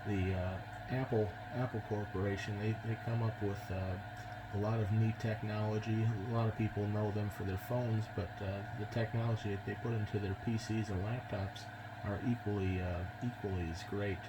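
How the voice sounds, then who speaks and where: Very noisy radio transmission, American man, lowish voice, measured casual ham chat. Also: steady tone. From United States. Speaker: amateur radio operator. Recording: very loud background noise on radio transmission.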